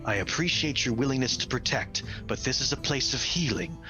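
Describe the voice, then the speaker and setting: Serene elderly female forest healer, fantasy RPG, acted by a man, kindly and soft-mannered, over clear music. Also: mid-range voice, lively intonation, fairly quick, natural voice. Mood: gently reproving. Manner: gentle, welcoming, serene. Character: ancient, radiant, kindly woman healer of the treetops.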